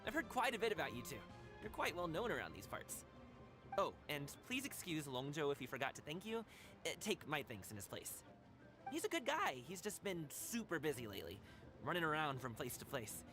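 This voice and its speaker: Lively high-voiced young guard. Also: male voice, a little fast, music in the background. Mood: friendly. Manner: breezy, sociable, courteous. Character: friendly, upbeat young male guard and courier, easygoing. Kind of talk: video game character acting.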